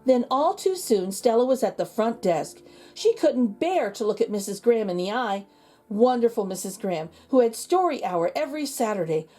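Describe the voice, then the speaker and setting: A woman reading a children's storybook aloud in an expressive, emphatic way. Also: a little high. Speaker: children's book reader. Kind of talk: storybook read-aloud narration.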